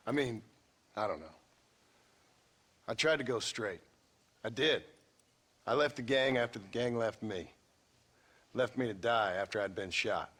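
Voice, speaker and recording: An actor playing a blunt, weary former outlaw in a video game, speaking tersely with a rural American Western accent. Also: male voice, on the low side, a bit bright. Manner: terse, plain-spoken. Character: world-weary male ex-outlaw, blunt and stubborn. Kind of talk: video game cutscene acting.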